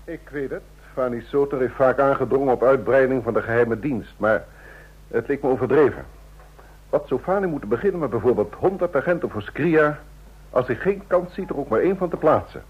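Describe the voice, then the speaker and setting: Suspicious president, highly animated intonation, husky, pompous, radio play, dull recording. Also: male voice, brisk. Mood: stern. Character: male state president, suspicious, authoritative, probing statesman. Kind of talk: radio drama acting.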